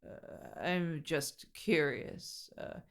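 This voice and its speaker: A woman playing an overly formal, fussy new roommate in a comedy audio drama, speaking in stilted, wordy, halting sentences. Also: low-pitched, steady tone. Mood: polite, hesitant.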